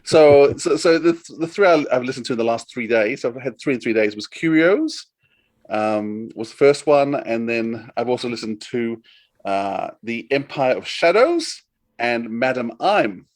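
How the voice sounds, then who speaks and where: A man's voice: medium pitch, animated, unhurried. A man in casual chat as a podcast co-host, giving recommendations in a rambling, enthusiastic way.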